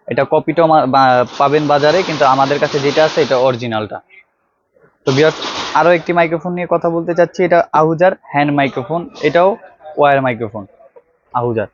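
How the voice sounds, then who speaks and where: A man with a Bangladeshi accent and a slightly high voice gives an informal product demonstration. He talks straight to viewers like a shop salesman. The clip-on mic sound is dry and a little dull. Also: no echo. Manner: salesman-like, addressing viewers directly. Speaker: electronics shop vlog presenter. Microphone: clip-on wired lapel microphone.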